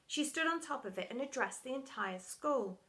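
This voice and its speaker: A woman's voice: high voice, animated, normal speed, sharp tone. A woman narrating a children's story in a dramatic storytelling style, as for primary school pupils.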